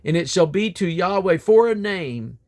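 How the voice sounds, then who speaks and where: A man with a somewhat high-pitched voice reads scripture aloud. His delivery is solemn and declamatory, each phrase set out deliberately. The ends of his phrases tend to fall away. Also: expressive, darkish tone. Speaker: Bible reader.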